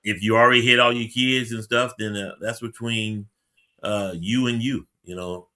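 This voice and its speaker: Deep-voiced male host, supportive, keeps the chat easy. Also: steady tone, moderate pace, bright, forceful tone. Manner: encouraging, frequent affirmations. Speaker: podcast host. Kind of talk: casual interview chat.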